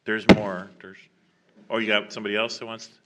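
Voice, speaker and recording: A man chairing a public meeting in an informal, halting way, reading out names and written comments. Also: lowish voice, level tone, a bit bright. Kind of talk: running a public meeting, reading emails aloud. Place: council chamber, public meeting.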